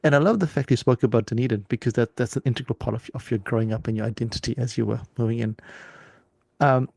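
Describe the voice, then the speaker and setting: A man from Zimbabwe hosts a conversational podcast, talking quickly in a low, gravelly, mellow voice. He responds warmly to his guest and often repeats words as he works through his thoughts. The sound is dry and close. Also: no echo. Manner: warm, affirming, repeats words as he thinks. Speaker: podcast host. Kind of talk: conversational interview.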